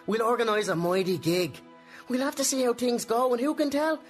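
A musician in a radio play. He is reassuring and gently firm, and faint music runs behind his voice. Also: very high-pitched, a little fast. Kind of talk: radio drama acting.

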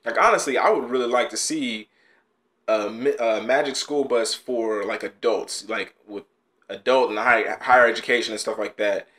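A man's voice: mid-range voice, normal speed, fairly bright tone. A young man hosting a casual reaction video, blunt and exasperated, with frequent sighs and groans, recorded in a small room at home. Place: small bedroom studio indoors.

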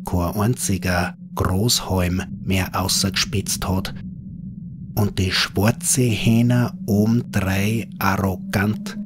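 A man with a Bavarian accent narrates a scripted horror story in dialect, in an unhurried, folksy manner. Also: low-pitched, steady tone, noisy background.

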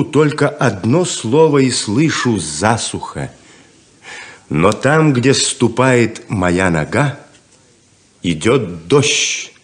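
An actor playing a smooth-talking, self-assured rainmaker con man in a theatrical 1970s Soviet radio play. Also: lowish voice. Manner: theatrical, grandly persuasive.

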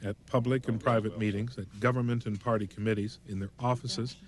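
A man narrating a documentary in a measured, explanatory way, reading a script. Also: fairly low pitch, steady tone.

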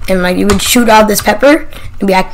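An excitable gamer giving casual gameplay commentary and calling out to other players in slangy, profane language. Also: medium pitch, quick pace. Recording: noisy mic with keyboard clicks.

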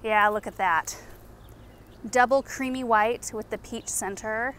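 A woman's voice: fairly high pitch, measured pace, a bit bright, a little hiss or hum. A woman in her thirties hosting a casual walking garden tour outdoors, chatty and enthusiastic as she points out plants. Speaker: garden show host.

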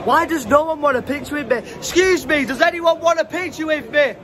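A young man, vlogging from a convention hall with a phone at arm's length, mock-polite and excitable. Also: extremely high pitch, brisk. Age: young adult. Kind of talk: comic vlog at a magic convention. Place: large indoor hall. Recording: loud crowd and music behind. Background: crowd chatter and music.

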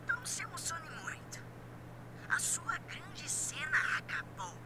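A woman's voice: extremely high pitch, expressive, a little slow, in a whisper. A woman voicing a small, theatrical, mocking villain in a Brazilian Portuguese game dub, pompous and taunting. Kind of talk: video game dub acting.

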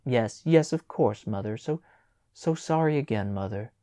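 A man reading a storybook voices an eleven-year-old girl who speaks softly and apologetically. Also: mid-range voice, animated, unhurried, no room sound, close to an everyday voice. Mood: remorseful. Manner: soft, quiet and apologetic.

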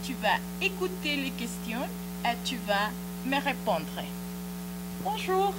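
Mexican-accented woman, patient French teacher, very slow, lively pitch, mains hum. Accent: Mexican Spanish. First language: Spanish. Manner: patient, repeats phrases slowly and encouragingly. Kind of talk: slow drilled language lesson. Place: small TV studio set. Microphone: handheld mic. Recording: studio recording with audible mains hum.

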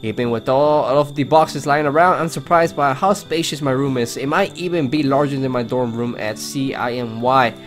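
A man's voice: mid-range voice, normal speed, few pauses. A man hosting a let's play, reading game text aloud with casual commentary. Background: game music.

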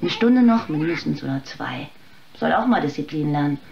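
A woman's voice: low voice, lively intonation, moderate pace, gravelly, dull audio, crowd noise. An actress playing a bitter, exhausted mother and tavern waitress in a radio play, weary and sarcastic. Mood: harried. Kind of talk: radio play acting, dramatic monologue.

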